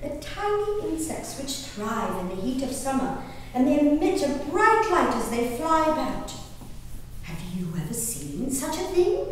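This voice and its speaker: A woman performing a genteel, impassioned colonial-era lady diarist on stage, declaiming her journal with deliberate dramatic pauses. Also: high-pitched, very animated. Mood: wonder, excitement. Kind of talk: theatrical dramatic reading of historical diaries. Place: live stage performance in a reverberant hall. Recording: very echoey hall from far off, noisy.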